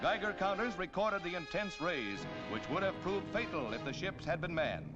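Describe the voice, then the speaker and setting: A man's voice: high-pitched, lively intonation, normal speed, loud music behind the voice. An American man reading scripted 1940s newsreel narration in a dramatic, declamatory manner, on a period film soundtrack. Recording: dull, band-limited 1940s newsreel soundtrack.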